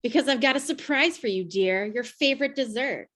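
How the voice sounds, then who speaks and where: Actress as doting wife, sweet, higher-pitched, old-time radio drama. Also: female voice, fairly quick, a bit bright, lightly acted. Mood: sweet, coaxing.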